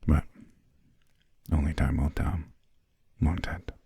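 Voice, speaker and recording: An aristocratic vampire master of a castle, performed by a man, speaks extremely low in a husky, breathy murmur. His delivery is slow and genteel, with an imperious formality suited to intimate ASMR roleplay. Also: fairly even intonation, mellow, dry recording, heavily acted. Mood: cool, measured. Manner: formal, haughty, old-fashioned genteel phrasing. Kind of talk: whispered ASMR roleplay, slow scripted monologue.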